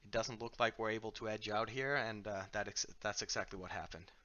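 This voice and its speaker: A fairly low-voiced man with a Finnish accent chats casually through a headset while hosting a game livestream. His tone is bright and forward, and he is relaxed and self-deprecating, with plenty of fillers and restarts. The headset sound is a little dull. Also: a little fast. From Finland. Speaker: livestream host from the game company. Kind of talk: casual livestream chat while playing and reading viewer questions.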